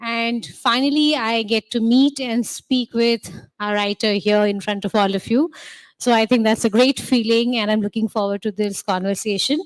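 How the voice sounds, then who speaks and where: A woman moderating an author conversation on a festival stage, asking gentle, encouraging questions with frequent fillers. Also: a little high, fairly even intonation, moderate pace, low-quality recording.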